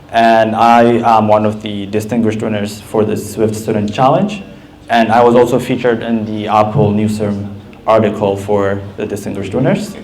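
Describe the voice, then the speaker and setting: Young man from Ethiopia, twenties, slightly low voice, prepared student presentation, steady. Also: a little hiss or hum, poor audio. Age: 20s. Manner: steady, clear, frequent "uh" and "like". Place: event stage.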